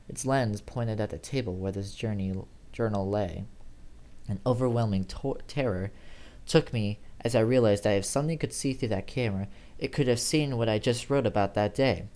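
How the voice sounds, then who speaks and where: Guy reading a creepy first person story out loud, kinda theatrical, normal mid pitched voice. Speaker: amateur horror story reader. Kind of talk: dramatic first-person horror story narration.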